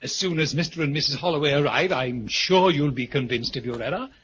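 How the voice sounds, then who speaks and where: An actor playing a polite, unruffled butler with formal, measured speech in a 1940s radio mystery. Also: male voice, fairly high pitch, a bit bright, lightly acted. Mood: polite, calm. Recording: dull old radio recording, faint noise.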